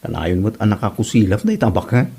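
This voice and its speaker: A man's voice: on the low side, big pitch swings, fast, creaky, dark tone, husky, exaggerated voice. A man playing a villager passing on neighborhood news in a comedy drama, with an Ilocano Filipino accent. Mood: chatty, complaining. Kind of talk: amateur comedy radio-style drama.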